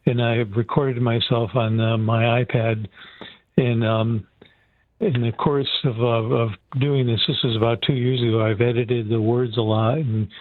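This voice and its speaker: An older man calling into a radio show, speaking earnestly and haltingly, often repeating words. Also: mid-range voice, no echo. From Seattle area, USA. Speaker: caller. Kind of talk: phone-in call. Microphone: phone. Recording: tinny phone line, dry.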